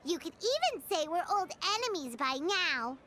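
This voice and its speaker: A woman voicing a tiny, childlike fairy companion in a video game, squeaky, chatty and cheeky, with an exclamatory delivery. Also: very animated, moderate pace, sharp tone, a little hiss or hum, exaggerated voice. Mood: opinionated. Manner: speaks of self in third person, exclamatory.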